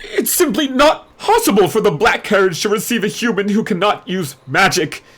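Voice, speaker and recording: A man voicing a theatrical, easily flustered head mage of a magic school, excitable and dramatic. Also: high voice, normal speed, breathy edge, pitched above the performer's own voice, full character voice. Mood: flustered, protesting.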